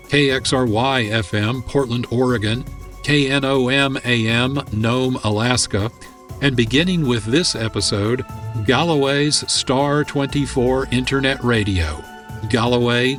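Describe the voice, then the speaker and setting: A male radio host reads scripted narration in a mid-pitched voice. Music plays faintly behind him. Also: a bit bright. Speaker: producer and host.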